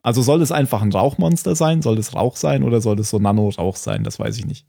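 A man's voice: mid-range voice, very animated, fast, creaky, warm tone, no echo, clean studio sound. A man chatting casually as a podcast host recapping a TV episode, thinking aloud hesitantly and often chuckling.

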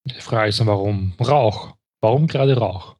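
A man's voice: on the low side, normal speed, darkish tone, slightly rough, no echo. A man co-hosting a casual podcast about a TV series, questioning things in a sceptical way. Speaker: podcast co-host.

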